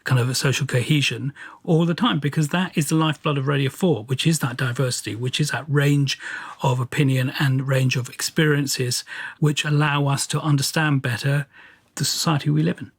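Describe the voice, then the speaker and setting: A husky-voiced man of middling pitch delivers a formal statement as a radio executive. His phrasing is measured and deliberate, and the sound is dry and close. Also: steady tone, no echo.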